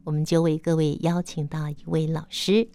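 Female radio interviewer, Taiwanese Mandarin accent, mellow tone, dry studio sound. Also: low voice, level tone, normal speed, smooth, no room sound.

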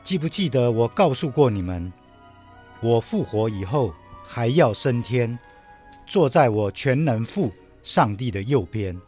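A man playing a gentle, earnest Jesus teaching his disciples in a religious radio drama. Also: medium pitch, animated, unhurried, faint music behind, natural voice. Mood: solemn, tender.

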